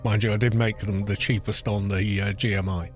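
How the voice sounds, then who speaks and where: Male streamer, baritone, laid-back running talk over game music, muttering asides. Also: fairly quick. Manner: relaxed, muttering to himself between remarks. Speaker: gaming streamer. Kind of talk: casual live-stream commentary while playing. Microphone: studio microphone with low cut. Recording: game music playing behind the voice.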